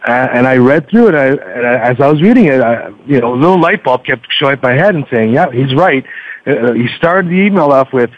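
A man's voice: medium pitch, lively intonation, fast, dry recording. A man speaking as a podcast guest in casual interview chat, explaining things in a wordy, stop-and-start way. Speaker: podcast guest, book reviewer. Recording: dull lo-fi radio-like line.

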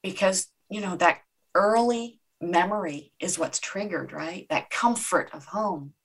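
Low-voiced woman, native Czech speaker. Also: a little slow, husky. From Czech Republic. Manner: earnest, affirming, reflective. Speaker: guest. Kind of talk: conversational interview.

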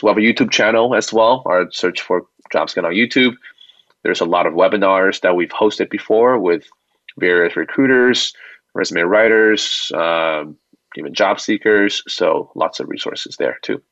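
A man's voice: sits low, a little slow, fairly bright tone, no echo. A man answering interview questions as a guest in an informal, reassuring, conversational manner over a remote call. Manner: informal, reassuring, often checks with "right?". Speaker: company founder and CEO, interview guest. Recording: dull, dry remote call audio.

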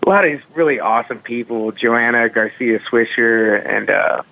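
A comedian and actor guesting on a radio show by phone, animated and self-mocking, laughing often. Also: male voice, mid-range voice, moderate pace. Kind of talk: casual interview chat. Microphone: phone call. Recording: tinny dry phone line.

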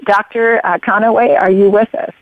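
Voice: mid-range voice, steady tone, normal speed, no echo. A radio show host interviewing a guest, talking in long rambling runs full of fillers and restarts and drifting into personal stories. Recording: phone-like narrow sound.

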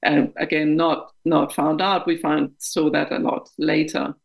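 A woman's voice: deep voice, level tone, measured pace, creaky, rough recording. A woman in her fifties from Denmark with a Danish accent, speaking frankly and reflectively as an interview guest, laughing at herself. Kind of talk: candid interview conversation.

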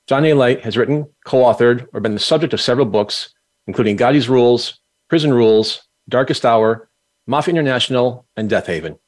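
Male podcast host, middle pitch, formal read intro, steady questions. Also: brisk. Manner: steady, formal reading of the guest's bio.

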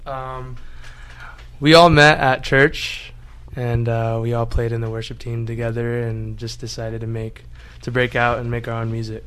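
A man's voice: medium pitch, level tone, measured pace, fairly bright tone, slight background noise. Hesitant young man answering questions. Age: 20s. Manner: hesitant, with many ums and laughs. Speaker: band singer guest. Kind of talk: casual interview answers.

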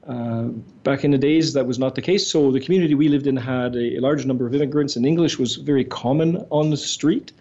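An older man from Montreal, a native French speaker, tells his story as an interview guest with an articulate, steady flow. His voice is dark and mellow, and the recording sounds dull. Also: mid-range voice, brisk, few pauses. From Montreal, Quebec. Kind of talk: interview answers.

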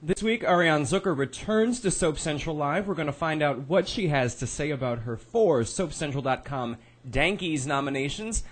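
Husky man reading a radio promo. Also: a little high, animated, moderate pace. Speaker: promo announcer. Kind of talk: scripted promo read.

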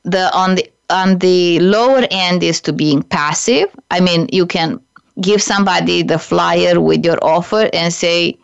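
A woman from Romania hosts her own business show, speaking in a smooth, clear voice. She talks effusively and warmly, restarting phrases as she goes. The recording is dry and a little dull. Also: lowish voice, no echo. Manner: warm, effusive, speaks slowly with frequent restarts and "like". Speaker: podcast host and business coach. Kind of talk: solo podcast monologue. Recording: dry, slightly dull studio sound.

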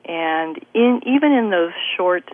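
A woman's voice: on the low side, lively intonation, unhurried, dry recording. A woman hosting a radio interview show, chatty and effusive in her questions. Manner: effusive, chatty, many "you know"s. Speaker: host. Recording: tinny phone-quality broadcast.

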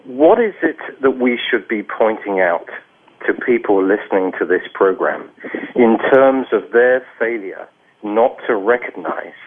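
Low-voiced male host on a phone line. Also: lively intonation, measured pace, slight background noise. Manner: long, searching questions with some stumbles. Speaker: radio host. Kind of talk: radio discussion.

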